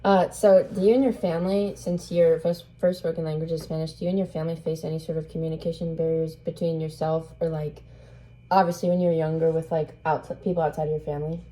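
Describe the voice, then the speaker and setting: Young woman student asking questions, lowish mellow voice, kinda rambly. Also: little pitch movement, fast, low-quality recording. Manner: loose, rambling questions with fillers. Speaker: student interviewer. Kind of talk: casual interview questions.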